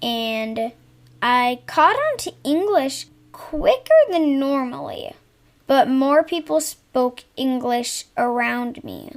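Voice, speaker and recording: A woman's voice: high voice, expressive, slow pace. A young girl talking candidly with her mother on a podcast, hesitant and searching for words. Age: young, child. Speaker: guest, host's daughter.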